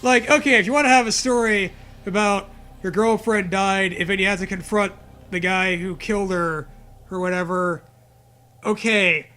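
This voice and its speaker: A man with a high, bright voice riffs casually beside music. His delivery is playful and crude, slipping into silly little acted quips. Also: normal speed. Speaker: co-host of a riffing show. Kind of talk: casual joking banter. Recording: music behind the voice.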